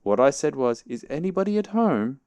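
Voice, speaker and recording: A man voicing a greedy, good-natured, slow-witted bear in a children's storybook, earnest, bumbling and easily flustered. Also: mid-range voice, very animated, darkish tone, smooth, no echo, pitched above the performer's own voice. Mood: calling out loudly. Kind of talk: children's storybook character reading.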